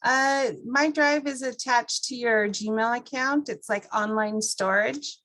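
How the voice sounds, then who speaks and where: A woman presenting an informal software walkthrough to a class, explaining things step by step in a conversational way. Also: fairly high pitch, slow.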